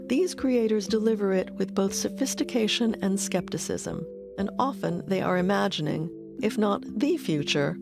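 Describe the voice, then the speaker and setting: A woman's voice: medium pitch, creaky. Woman narrating a documentary over music. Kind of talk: scripted narration.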